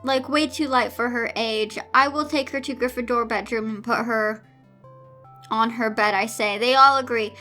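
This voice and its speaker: High bright girly voice, woman reading a story out dramatically w sarcastic asides, music behind. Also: steady tone, normal speed. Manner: theatrical, incredulous, sarcastic asides. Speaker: host reading fan fiction aloud with commentary. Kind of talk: dramatic read-aloud with exasperated commentary.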